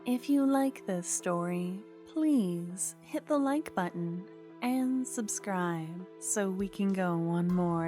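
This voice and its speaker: Gentle whispery woman narrating an asmr story, really slow, faint music. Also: medium pitch. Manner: soft-spoken, gentle whispering.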